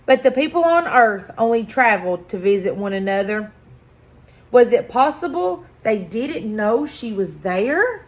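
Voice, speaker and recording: A woman reading a children's picture book aloud as a story-time host, deliberate and expressive, pausing for effect. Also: fairly high pitch. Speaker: library story-time reader.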